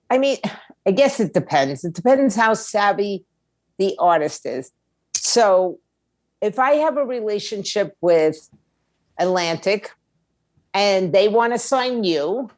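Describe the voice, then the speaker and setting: A woman's voice: medium pitch, no echo. A woman giving blunt, opinionated conversational answers as an interview guest, in short emphatic statements. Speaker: guest, music label owner and attorney.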